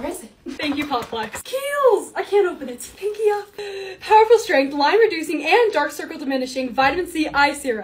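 A young woman with a bubbly, gushing way of talking and a slightly raspy voice, narrating her lifestyle vlog. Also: sits high, slight background noise. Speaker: lifestyle vlogger. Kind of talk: casual vlog voice-over.

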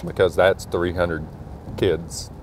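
A man's voice: sits low, steady tone, slow pace. A middle-aged man, a school superintendent, chatting casually while driving his truck at night, thinking aloud with many fillers. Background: faint car engine and road noise.

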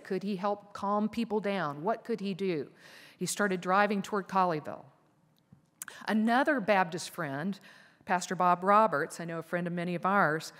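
Earnest woman speaking in a reverberant hall. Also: medium pitch. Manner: measured, earnest delivery at a lectern. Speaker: keynote speaker. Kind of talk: formal prepared speech. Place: conference stage. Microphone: lectern microphones.

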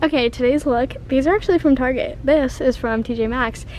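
A woman's voice: high voice, fairly quick, a little mellow, a little hiss or hum. A young woman vlogging in a casual, rambling way, giggly and bubbly, filmed by a camera on the dashboard of her car. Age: young adult. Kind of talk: casual chat. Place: inside a parked car, then a big store. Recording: music playing in the car.

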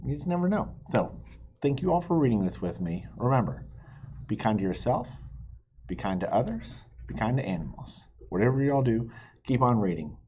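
A man's voice: mid-range voice, very animated, moderate pace, muffled recording, a little hiss or hum. A man in his thirties hosting a picture-book read-aloud, explaining things simply to young viewers. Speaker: children's read-aloud host.

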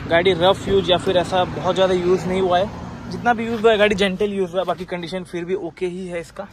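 A man, a used car dealer filming a walkaround of a car for sale and briskly listing its features and price. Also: high voice, steady tone. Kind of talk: car walkaround and sales pitch. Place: outdoor car lot, then inside a car on the road. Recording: outdoor noise and traffic.

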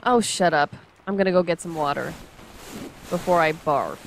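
A woman's voice: fairly low pitch, normal speed, a little hiss or hum, lightly acted, low-quality recording. An actress playing a bashful, prickly young woman admitting affection in an audio drama.

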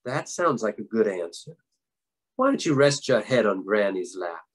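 A man's voice: fairly low pitch, sing-song, moderate pace, slightly rough, voice pushed down. A man voicing the devil's grandmother in a folktale, an old woman who scolds and coaxes. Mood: soothing, coaxing. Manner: scolding, coaxing.

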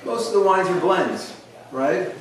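A man's voice: sits high, a little slow. Middle-aged winemaker guy telling the family story, lots of ums, echoey room, mic far off with noise. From Southern California. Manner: relaxed storytelling with fillers. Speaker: winemaker giving a tasting. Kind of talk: casual tasting talk to guests. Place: winery tasting room.

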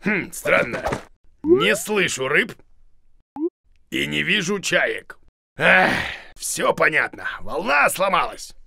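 A man voicing a silly, boastful, excitable bearded creature in a children's cartoon, bumbling and exclaiming theatrically. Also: on the high side, takes it slowly, gravelly, pauses often, breathy edge, no room sound, exaggerated voice. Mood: puzzled, busy. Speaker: main character of a children's series. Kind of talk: animated children's cartoon voice acting.

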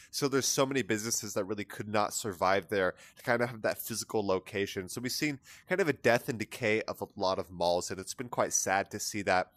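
A man's voice: on the low side, a bit bright, dry recording. A man hosting an art analysis channel, talking casually through an image with lots of "kind of" and "you know" fillers. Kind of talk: casual spoken art commentary. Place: voice-over on a screen recording of an image viewer.

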